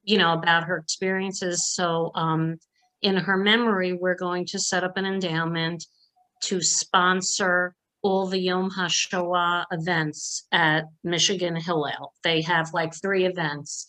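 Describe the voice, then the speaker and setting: An older woman with a slightly low-pitched voice speaks informally in an online meeting. She is plainspoken and emphatic, in a conversational way. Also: flat delivery, unhurried, sharp tone, rough recording. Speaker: donor asking questions at an online meeting. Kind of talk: informal discussion at a virtual meeting.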